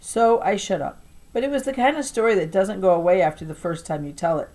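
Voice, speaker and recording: A woman teacher reading a children's novel aloud to a class with expressive, emphatic and deliberate storytelling delivery. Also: medium pitch.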